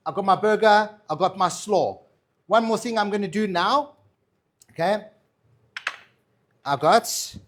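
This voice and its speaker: A man with a South African accent, a chef chatting casually through a cooking demo and answering viewer questions. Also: fairly high pitch. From Madeira, raised in South Africa. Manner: chatty, reassuring, tags lines with "all right?" and "yeah?".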